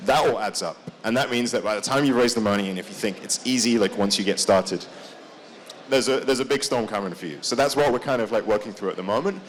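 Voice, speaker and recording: A male startup founder speaks at a conference showcase. He talks quickly in a somewhat low voice, and his phrasing is casual and full of "like" and "kind of" fillers. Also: slight background noise. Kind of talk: conference talk. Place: conference stage.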